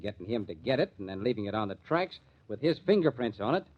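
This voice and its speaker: Casting: tough, sardonic American police detective, assured slangy delivery, vintage radio crime serial, muffled recording. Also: male voice, fairly low pitch, sing-song, fast. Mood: sardonic, explaining. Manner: wisecracking, hard-boiled slang. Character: confident, wry, tough police lieutenant. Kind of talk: old-time radio crime drama acting.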